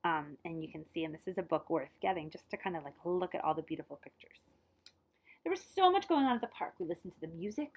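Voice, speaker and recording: A woman reading a children's picture book aloud in an expressive, dramatized way, dropping to hushed phrase endings and adding short asides. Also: on the low side, quick pace.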